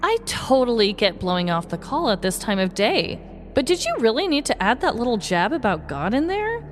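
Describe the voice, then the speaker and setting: Woman as a high, lively, earnest lady deputy. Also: normal speed, slight background noise. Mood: gentle reproach. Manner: earnest, reproachful. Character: devout, upbeat female deputy, gently scolding. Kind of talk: comedic podcast story acting.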